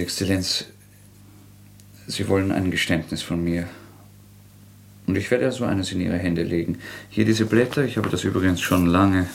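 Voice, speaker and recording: An actor playing a proud young intellectual murderer in a radio drama, sarcastic, agitated and theatrical, defiant and brittle. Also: male voice, deep voice, gravelly. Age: young adult. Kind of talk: German radio drama acting.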